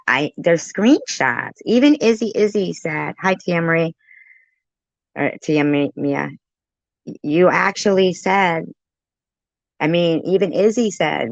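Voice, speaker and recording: A woman's voice: deep voice, gravelly, no echo, lo-fi sound. A woman giving casual reaction commentary on a live stream, chatty and argumentative as she interjects.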